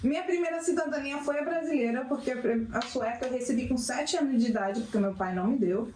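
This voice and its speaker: A woman from Sweden, Portuguese her first language, telling her story as a podcast guest in casual chat, animated and emphatic. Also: high-pitched, fast. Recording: echoey room with faint background noise.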